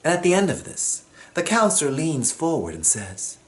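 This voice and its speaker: Animated man telling a personal story. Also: fairly high pitch, normal speed. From Jamaica. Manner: expressive, acts out other people's lines.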